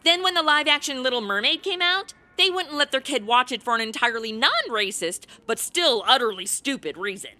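A woman's voice: sits high, animated, brisk, few pauses, sharp tone. A woman playing a brash, blunt young helper to Santa in a comedic radio play, flippant and sarcastic.

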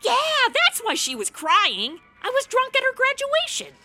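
A woman's voice: extremely high pitch, huge pitch swings, a little slow, over music, full character voice. A woman playing a brash, blunt young helper to Santa in a comedic radio play, flippant and sarcastic.

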